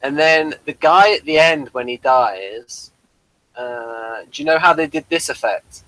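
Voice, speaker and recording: A man's voice: medium pitch, big pitch swings, unhurried, vocal fry, sharp tone, dry recording, rough recording. Man reviewing television in casual chat. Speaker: host of a TV review show.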